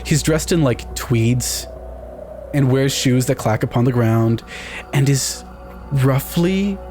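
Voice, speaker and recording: A man improvising descriptive tabletop game narration in deliberate, evocative phrases with pauses. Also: mid-range voice, a little mellow. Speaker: tabletop game player, storyteller. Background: music.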